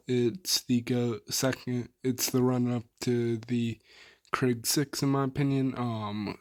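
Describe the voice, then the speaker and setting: A young gamer talks through his loadouts in a hoarse, worn voice, speaking slowly with a flat, even tone. He trails off often and fills pauses with "um". The sound is dry and close, with no room around it. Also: medium pitch, no echo. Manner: laid-back, filler-heavy, trailing off. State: hoarse, soft on mic. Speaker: gaming YouTuber. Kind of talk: casual weapon build rundown.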